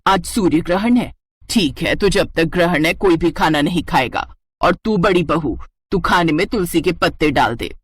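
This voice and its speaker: A woman's voice: lowish voice, expressive, normal speed, husky, no echo. A woman playing a nagging, old-fashioned older mother-in-law in a moral story cartoon, indignant and exclaiming. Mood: bossy, scolding.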